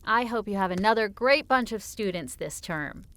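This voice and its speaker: A playful, affectionate wife acted by a woman in audiobook dialogue. Her voice is smooth and clear with animated, swooping intonation, natural and gently teasing. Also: medium pitch, moderate pace, lightly acted. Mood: warm, relaxed. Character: teasing, affectionate wife and author in cozy conversation.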